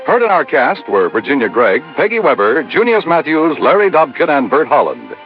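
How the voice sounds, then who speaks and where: A man's voice: fairly high pitch, huge pitch swings, moderate pace. An American man reading scripted credits as a 1950s radio announcer. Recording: muffled vintage radio, music underneath.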